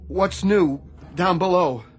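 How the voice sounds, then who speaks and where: An actor, a man, playing a troubled, haunted Navy chief in a radio drama, anxious and halting. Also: high voice, breathy edge, exaggerated voice. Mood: groggy. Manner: anxious, halting, repeats himself. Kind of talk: radio theatre acting.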